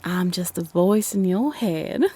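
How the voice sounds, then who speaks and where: A woman's voice: fairly low pitch, takes it slowly, dark tone, slightly rough. A woman voicing a teasing invisible female spirit, a playful, sly voice in the head, in a roleplay audio drama.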